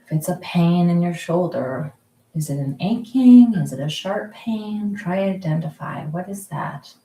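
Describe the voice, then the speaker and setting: A woman with a lowish, mellow voice guides listeners through a mindfulness exercise, slowly and gently, pausing often. She speaks from her home kitchen, and the room adds a noticeable echo. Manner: slow, soft, calm guiding with frequent pauses. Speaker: mindfulness group leader.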